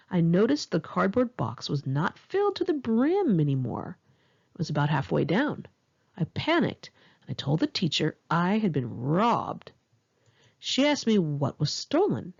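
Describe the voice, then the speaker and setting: Memoir narrator: Californian woman, gravelly and husky, lively intonation, candid conversational storytelling. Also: on the low side, muffled recording. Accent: Californian American. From Los Angeles area, California, United States. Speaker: author reading own memoir. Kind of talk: narrated memoir audiobook, one-take home reading.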